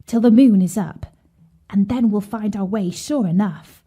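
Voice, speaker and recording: A woman's voice: mid-range voice, measured pace, dark tone, breathy edge. A woman voicing a brave, consoling young boy in a children's fairy tale, earnest and reassuring. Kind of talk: children's storybook character voice.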